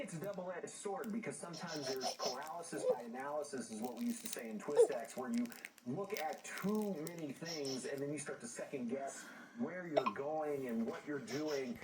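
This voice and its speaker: A man's voice: a little high, very noisy. A middle-aged man giving conversational interview answers as an expert guest. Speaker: storm chaser and meteorologist guest. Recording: distant voice under loud music. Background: loud music with other voices mixed in.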